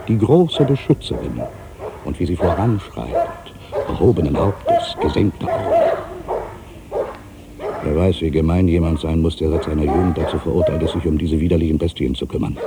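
An actor playing an irritable, brooding ageing writer, delivering a theatrical literary monologue for a radio play, moving between exclamations and hushed asides. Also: male voice, low voice, huge pitch swings, normal speed, very noisy, exaggerated voice. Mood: scornful, indignant. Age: older. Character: ageing writer, musing, irritable, suspicious, quietly superstitious.

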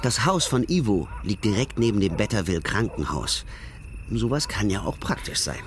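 An actor playing a flippant, streetwise courier in debt, narrating a radio crime drama in colloquial, ironic asides. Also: male voice, on the low side, big pitch swings, normal speed, slight background noise. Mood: sardonic. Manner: laconic, ironic, offhand asides. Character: flippant small-time drug courier in debt, male, streetwise. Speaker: narrator and lead character. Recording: loud music behind the voice.

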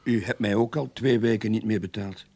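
Casting: jaded private detective for radio drama, lowish voice with gravel in it, dry and sceptical. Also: male voice, steady tone, a little fast, darkish tone. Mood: dry, defensive. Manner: dry, unimpressed. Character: weary, sceptical, underpaid male private detective. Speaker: actor in a radio play.

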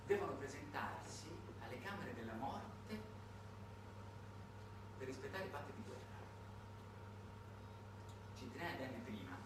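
A man delivers a satirical narrative monologue in a theatrical, highly varied voice. His delivery is dramatic and changeable. He is heard from far off in a very reverberant space, with clear noise on the recording. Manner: dramatic delivery swinging between hushed and shouted. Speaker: stage monologist, writer-performer. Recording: echoey, noisy recording from a distance.

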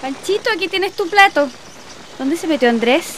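A Chilean mother in a family drama. Her voice is high and bright, firm yet easygoing, with faint outdoor noise behind. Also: lively intonation, moderate pace. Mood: taking charge.

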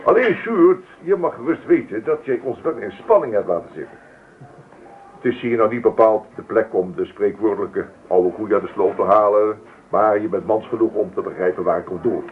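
A man's voice: lowish voice, moderate pace, a little hiss or hum, natural voice. An actor playing a formal, proud, easily affronted father in a radio drama, speechifying pompously in long sentences. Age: middle-aged. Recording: phone-like old radio recording.

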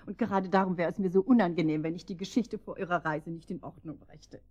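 A low, soft-edged woman's voice from an actress as a sweetly nosy neighbour in a radio drama. She is wordy, apologetic and effusive. The recording sounds a little muffled. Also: steady tone, fast, natural voice. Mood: gushing, apologetic. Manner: effusive, wordy, over-polite. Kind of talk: German radio drama acting.

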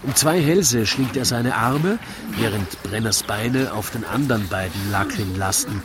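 A man's voice: lowish voice, expressive, unhurried, noisy background. A man narrating a comic rhymed story in a wry, theatrical storytelling manner. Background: music.

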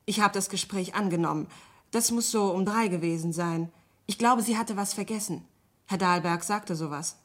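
Actress as a careful, loyal secretary, medium-pitched. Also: female voice, normal speed, close to an everyday voice. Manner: brief, careful answers. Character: loyal, polite female office secretary under questioning. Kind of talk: 1970s German radio crime drama acting.

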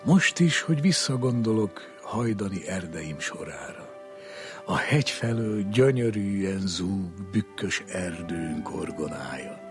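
A man reciting poetry solemnly, in a measured and expressive way. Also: deep voice. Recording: voice over music.

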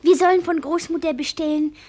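Casting: curious little country girl, high childlike voice, eager, for radio play. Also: fairly even intonation, a little fast, darkish tone. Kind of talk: German radio drama acting.

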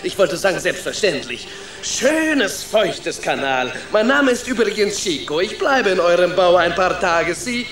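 A talkative travelling rat in a children's radio play, with a thick mock Spanish-Italian accent and broken grammar. His fairly high voice jumps around expressively, in an echoey space with music behind it. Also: big pitch swings, measured pace, a bit bright, heavily acted. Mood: breezy, indignant. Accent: mock Spanish-Italian accent in German. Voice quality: stammering. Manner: broken grammar, peppered with foreign words.

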